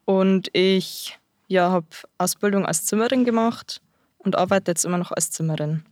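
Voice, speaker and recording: A woman's voice: lowish voice, normal speed, darkish tone, no room sound, clean studio sound. A nineteen-year-old woman answering interview questions about her trade in a casual, hesitant way, with frequent fillers and restarts. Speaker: guest, apprentice-trained carpenter.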